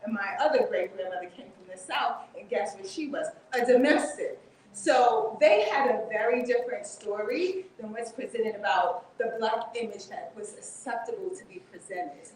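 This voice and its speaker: A woman from Harlem with a loud voice, asking the authors a question from the audience at a bookshop event. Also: on the high side, moderate pace, slight background noise. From Harlem, New York. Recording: echoey room from far off.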